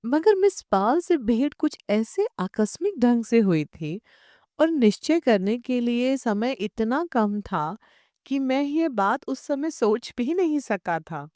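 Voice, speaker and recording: A woman's voice: on the high side, sing-song, slow, mellow, dry recording, clean studio sound. A woman reading a literary short story as an audiobook narrator.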